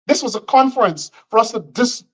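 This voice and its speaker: A man's voice: extremely high pitch, fairly quick, fairly bright tone. A man from Guyana, delivering combative political commentary and repeating phrases for emphasis.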